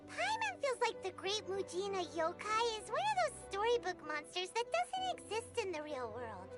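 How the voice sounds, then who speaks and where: A woman voicing a tiny, childlike, excitable fairy companion in an anime video game. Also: exaggerated voice. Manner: refers to self in third person, squeaky and expressive.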